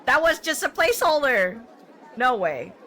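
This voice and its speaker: A woman streamer with a bright, high-set voice reads game text aloud and chats in an expressive way. She takes her time, and faint noise and game sound sit behind her. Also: moderate pace, some chatter behind. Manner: expressive, reacts with frequent laughter and exclamations. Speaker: streamer playing a visual novel. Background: game audio and ambience.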